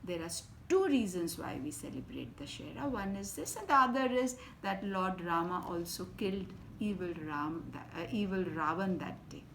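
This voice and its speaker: A woman with an Indian English accent reading a story aloud to children, swinging from loud emphasis to soft whispers. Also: mid-range voice, lively intonation, moderate pace, hardly any pauses, a little hiss or hum. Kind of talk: expressive bedtime story reading.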